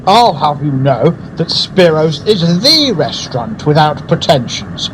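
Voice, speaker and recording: Man playing theatrical gourmet, highish swooping voice. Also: very animated, a little slow, noisy background. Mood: grandiose, devoted. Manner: theatrical, earnest, grandiose. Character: earnest, effusive male advertising man and gourmet. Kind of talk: radio drama dialogue.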